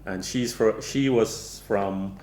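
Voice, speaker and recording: A man in his sixties from Guyana, a guest speaking in an interview, slow and measured with many pauses and fillers. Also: on the low side, a little mellow, roomy sound, slight background noise. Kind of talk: interview conversation.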